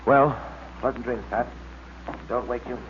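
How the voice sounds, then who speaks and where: A man acts out a square-jawed American detective hero from a 1940s radio serial, calm and earnest in a middle-pitched voice. The sound is narrow and phone-like with clear hiss. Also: normal speed, natural voice. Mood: calm, quiet. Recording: scratchy old-time radio recording, narrow band, hiss.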